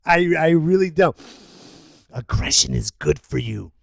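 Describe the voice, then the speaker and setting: Podcast host, male, animated rant voice, swings wide in pitch, close dry sound. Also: unhurried, creaky, no echo. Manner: loud, animated ranting with sudden yells. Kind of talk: opinion rant.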